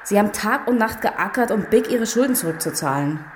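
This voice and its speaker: An actress playing an earnest, businesslike police investigator giving matter-of-fact briefings in a radio crime drama. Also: female voice, mid-range voice, animated, fairly quick, very noisy, lightly acted.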